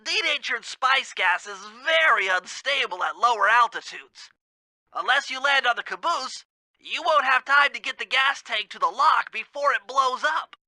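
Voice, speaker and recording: A man's voice: very high voice, expressive, moderate pace, bright, forceful tone, no echo, exaggerated voice. A man voicing a brainy, anxious animal gadget expert in a cartoon video game, nasal and precise in formal technical phrasing. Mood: matter-of-fact, precise.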